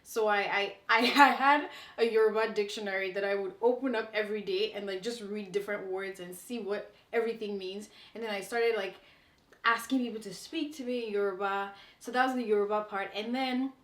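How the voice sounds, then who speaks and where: A woman's voice: mid-range voice, fairly even intonation, brisk, fairly bright tone, reverberant. A young woman from Nigeria, the guest, talking casually and playfully about her upbringing, laughing often. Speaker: interview guest. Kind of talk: casual conversational interview. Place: indoor room, moved to Canada.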